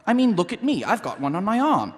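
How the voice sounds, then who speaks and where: An actor playing a naive, earnest young city guard corporal in a comic fantasy radio play. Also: male voice, sits high, wildly swooping pitch, quick pace. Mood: sincere, matter-of-fact. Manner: earnest, guileless. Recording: live stage performance in reverberant hall.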